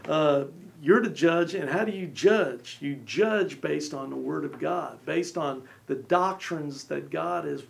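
A man's voice: fairly high pitch, unhurried, creaky, hardly any pauses. A man in his forties discussing the Bible in a small studio, talking animatedly with lots of gestures and brief acted-out quotes. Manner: gestures a lot, acts out little voices. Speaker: co-host. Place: small studio set with armchairs.

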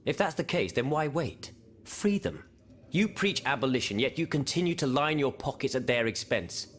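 Casting: stern revolutionary idealist, medium pitch, pointed formal rhetoric, game drama, faint ambient noise. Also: male voice, very animated, moderate pace. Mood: accusing. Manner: sharp rhetorical challenging, formal. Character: stern, accusing male French revolutionary idealist. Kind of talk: game cutscene voice acting, period drama.

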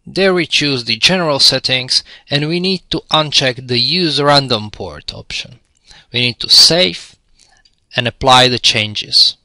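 A man's voice: mid-range voice, unhurried, pushed and bright, no echo. A man narrating an instructional product demo in a friendly, step-by-step explanatory way. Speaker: tutorial presenter.

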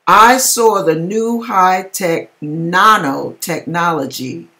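A woman's voice: lowish voice, pushed and bright. A middle-aged American woman giving a theatrical, emphatic dramatic reading with casual asides, recorded on a webcam in a home kitchen. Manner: theatrical, emphatic, drawn-out delivery. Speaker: host reading viewers' dream accounts. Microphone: webcam at desk distance.

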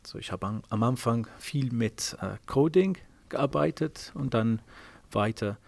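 A middle-aged Italian man explains his work in halting German, stopping often with filler sounds between phrases. His voice is gravelly and mid-pitched, with a strong Italian accent. The sound is close and dry, with almost no room in it. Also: darkish tone, no echo. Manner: hesitant, many filler sounds. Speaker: space mission software engineer. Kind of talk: interview answers in non-native German. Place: office at a space operations centre.

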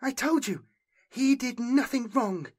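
A man's voice: very high voice, expressive, a little slow, breathy edge, pitched above the performer's own voice, full character voice. A man voicing a distressed, defiant young woman refugee in an audiobook, speaking urgently in clipped phrases.

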